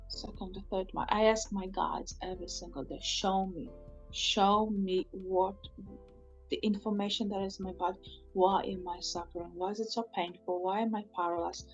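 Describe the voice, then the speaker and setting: Woman, podcast guest, non-native English, rambling storytelling, quotes herself, slightly dull sound, faint music behind. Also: mid-range voice. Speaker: podcast guest, spiritual healer. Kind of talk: personal storytelling in an interview.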